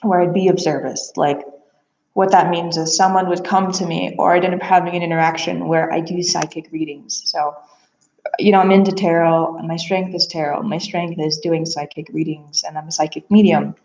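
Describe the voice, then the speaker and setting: A woman's voice: on the low side, steady tone, normal speed, darkish tone, slight background noise. A woman talking casually and earnestly about herself in a rambling, introspective personal monologue. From Bulgaria, adopted from there. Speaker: aspiring therapist and psychic reader. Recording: echoey room, slightly dull sound.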